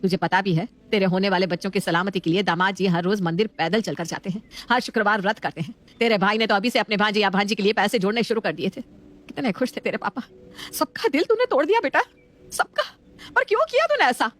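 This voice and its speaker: A woman's voice: medium pitch, expressive, fast talker, close to an everyday voice. A woman voicing a doting, emotional middle-aged mother in a family story, fussing and emphatic. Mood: hurt, reproachful.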